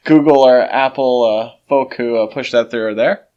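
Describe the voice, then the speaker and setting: A man's voice: mid-range voice, moderate pace, dark tone, highs cut off. A man co-hosting a podcast, talking casually in a conversational discussion. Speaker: podcast co-host.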